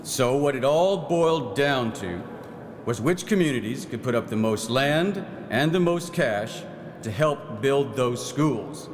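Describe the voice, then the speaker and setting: An actor playing a proud, folksy 1909 farm-minded legislator who reminisces in a plain-spoken theatrical monologue. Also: male voice, mid-range voice, animated, a little slow, close to an everyday voice. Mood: wry, reminiscing. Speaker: actor in historical re-enactment. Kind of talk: theatrical first-person historical monologue. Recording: echoey hall, clear background noise.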